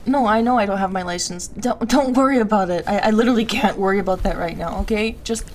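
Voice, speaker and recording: A woman playing a distressed, apologetic but stubborn young woman in an intimate audio roleplay, stammering and halting. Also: mid-range voice, slight background noise, natural voice. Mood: hurried, caring. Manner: halting, stammering, breathy asides to a partner.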